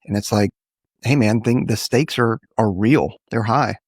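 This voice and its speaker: A man hosting a casual interview podcast, talking in a relaxed conversational style. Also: fairly low pitch, measured pace, slightly rough, dry recording, studio quality. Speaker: podcast host.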